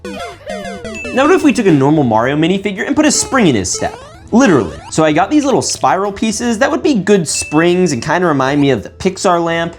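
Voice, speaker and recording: A man's voice: high voice, wildly swooping pitch, fairly quick, faint music behind. A young man narrating a video in a casual, slangy, jokey and exclamatory way. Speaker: video host. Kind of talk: casual scripted vlog narration.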